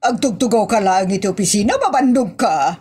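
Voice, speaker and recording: A woman's voice: medium pitch, expressive, brisk, darkish tone, husky. A woman with an Ilocano Filipino accent playing a bossy, teasing wife in a comedy audio drama. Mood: questioning, chiding.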